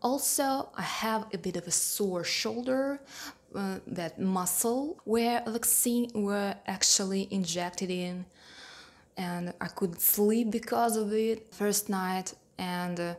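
A young woman from Russia with a thick Russian accent, speaking careful, slightly halting English in a personal vlog to camera. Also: mid-range voice, echoey room. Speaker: vlogger. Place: small home room with a piano. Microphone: handheld clip-on mic held near the mouth.

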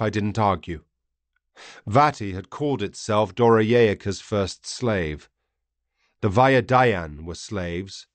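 A man reading a first-person story as an audiobook narrator, grave, theatrical and deliberate. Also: on the low side, no room sound.

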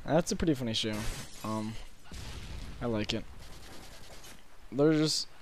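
An eighteen-year-old man with a hoarse, sore-throated voice, rambling through casual late-night gameplay commentary from his bedroom with lots of fillers. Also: mid-range voice, a little hiss or hum. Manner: rambling, halting with many fillers. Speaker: gaming commentator.

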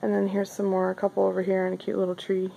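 Woman chatting away on her phone cam at a bazaar, mid pitch, faint room noise behind. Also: monotone, fast, vocal fry, mellow, rough recording. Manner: chatty, upbeat, filler-heavy. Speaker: vlogger. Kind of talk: casual walk-through vlog. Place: indoor craft bazaar hall.

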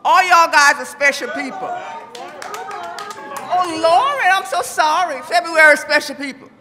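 High-voiced woman making church announcements. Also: moderate pace, a crowd in the background, background noise. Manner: chatty, laughing, teasing. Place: large church sanctuary. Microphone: handheld mic. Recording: reverberant church hall with music.

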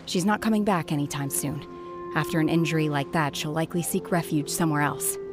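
A reserved young warrior from a video game, voiced by a woman. She talks calmly in short, detached phrases, on the low side, with quiet sincerity. Music runs underneath. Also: a little fast, close to an everyday voice. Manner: terse, detached, calm. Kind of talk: scripted video game voice acting.

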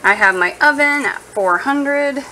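A woman's voice: high-pitched, lively intonation, a little slow, pushed and bright. Woman doing a casual cooking vlog, kinda playful, talking to herself, noisy kitchen sizzle behind. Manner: informal, playful, thinking aloud. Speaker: home cooking vlogger. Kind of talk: casual chat while cooking. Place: home kitchen, indoors.

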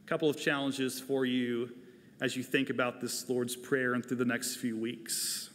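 An American pastor, a man in his forties, delivering a sermon in a measured, deliberate, emphatic way. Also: mid-range voice, level tone, a bit bright, slight background noise. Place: church sanctuary, at a pulpit. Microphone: lapel microphone. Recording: reverberant large church hall.

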